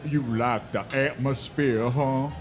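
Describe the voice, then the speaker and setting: Menacing, mocking bushwhacker played by a man, unhurried drawl and mid-pitched voice, for a staged western radio play. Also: fairly even intonation, a crowd in the background, lightly acted. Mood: jeering. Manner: sly, taunting drawl. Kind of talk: western radio drama acting. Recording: live stage with audience noise.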